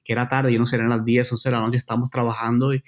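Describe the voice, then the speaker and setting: A man's voice: medium pitch, flat delivery, very fast. A man from Colombia, a journalist guest chatting casually and hesitantly with frequent fillers and restarts. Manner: hesitant, many fillers and restarts, uneven pace. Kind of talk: casual chat.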